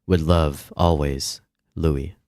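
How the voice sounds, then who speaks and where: A tender male lover from a visual novel, voiced by a man reading along. His voice is low and dry. He speaks earnestly and with sorrow, as in a farewell letter. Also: fairly even intonation, slow, a little mellow, no echo. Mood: sorrowful, loving.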